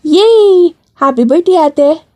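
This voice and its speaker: Casting: woman narrator as excitable Filipino little sister, sing-song, pitched way up, audio-drama style. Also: takes it slowly, exaggerated voice. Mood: gleeful. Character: cheerful excited younger sister.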